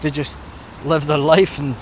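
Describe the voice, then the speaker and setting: A man's voice: fairly high pitch, flat delivery, a little slow. A middle-aged man with a Scottish accent chatting casually and reflectively as he vlogs while walking. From Scotland. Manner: reflective, informal, conversational. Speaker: vlogger. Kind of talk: casual rambling vlog chat while walking. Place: outdoors, walking along a busy seafront. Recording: outdoor street noise with traffic.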